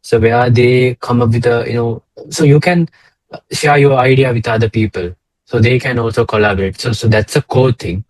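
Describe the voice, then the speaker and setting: A man's voice: medium pitch, steady tone, moderate pace, dry recording, low-quality recording. A young man from Sri Lanka co-hosting a casual slide talk over a video call, hesitant with many fillers and restarts. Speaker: co-host, data engineer. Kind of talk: casual presentation chat.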